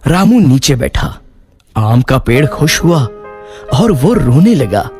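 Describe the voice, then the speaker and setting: A man's voice: mid-range voice, big pitch swings, slow pace, a little mellow. A man narrating a scripted children's moral story as its storyteller. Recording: faint music bed.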